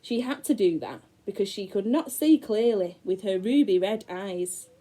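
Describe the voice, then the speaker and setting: A woman teacher reading a fairy tale aloud to young children, slow and dramatic with gasps. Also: mid-range voice, animated, dark tone, low-quality recording. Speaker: primary school teacher.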